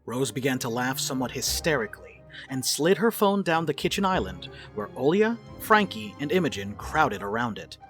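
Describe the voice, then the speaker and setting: A woman's voice: extremely low pitch, sing-song, moderate pace, few pauses, faint music behind. A woman reading scripted narration and stage directions for a dramatic reading.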